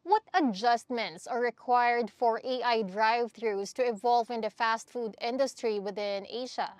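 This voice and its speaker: A woman interviewer with a slightly high voice. She puts short, direct questions to her guests and keeps each one clear and to the point. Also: steady tone, unhurried.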